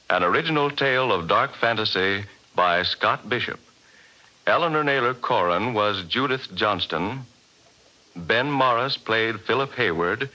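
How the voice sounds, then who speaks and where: A man's voice: medium pitch, sharp tone. Formal 1940s radio announcer, man. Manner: crisp, formal. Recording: dull old radio broadcast.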